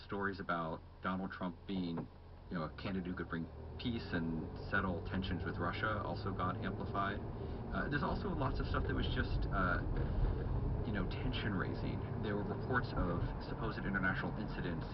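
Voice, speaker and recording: Man, journalist, very low voice, measured, explanatory, muffled noisy audio. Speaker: journalist guest. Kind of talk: radio interview.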